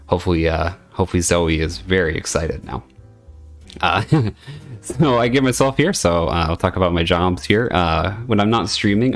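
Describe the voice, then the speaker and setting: Male streamer, deep and gravelly, earnest and hesitant, casual home chat. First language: English.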